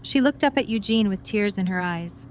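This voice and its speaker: A woman's voice: medium pitch, lively intonation, normal speed, a little hiss or hum. A woman narrating a scripted story as the narrator of an audio drama.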